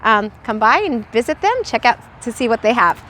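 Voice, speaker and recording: A woman's voice: fairly high pitch, expressive, fairly quick, sharp tone, a little hiss or hum. A woman teacher reading a children's storybook aloud expressively, adding little asides to her listeners.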